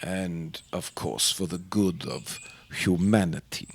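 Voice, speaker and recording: A man acts out an older scientist for an old-time radio play, guarded and haughty. His voice sits low and he takes his time, with formal, halting phrasing and a dramatic intensity. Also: fairly even intonation, creaky, breathy edge, heavily acted. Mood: disdainful.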